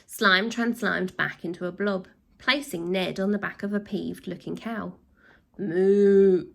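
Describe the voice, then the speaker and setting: A woman reading a children's storybook aloud, with lively voices and sound effects. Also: on the low side, moderate pace, gravelly. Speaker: storybook reader.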